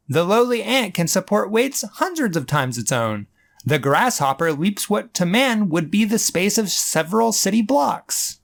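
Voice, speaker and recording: A man hosting a casual comic commentary who makes dry, wry asides. He talks slowly, and his pitch rises and falls a lot. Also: creaky, a bit bright. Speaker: comic dub host and commentator.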